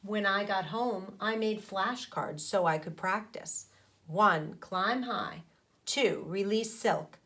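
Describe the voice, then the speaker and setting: Slow-paced woman teacher reading aloud to children. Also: mid-range voice, fairly bright tone. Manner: didactic, pauses to question the young listeners. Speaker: elementary school teacher. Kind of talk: children's read-aloud with explanatory asides.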